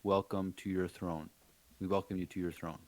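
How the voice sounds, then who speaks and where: A man's voice: deep voice, little pitch movement, moderate pace, slight background noise. A man chatting casually as a podcast co-host while playing a game. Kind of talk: casual chat.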